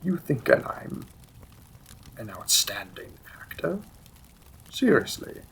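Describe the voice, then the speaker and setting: Guy doing a demon king for a romance roleplay, slow n gravelly, breathy, intense lover type. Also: medium pitch, big pitch swings, a bit bright, a little hiss or hum, heavily acted, low-quality recording. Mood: tender, concerned. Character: menacing, possessive demon king, secretly a tender lover. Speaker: audio roleplay performer. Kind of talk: dramatic romantic fantasy audio roleplay.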